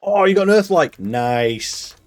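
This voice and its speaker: A man's voice: on the high side, expressive, a little slow. Chatty Mancunian man streaming a game. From Manchester, England. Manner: chatty, rambling, laughs often. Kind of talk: casual live-stream chat.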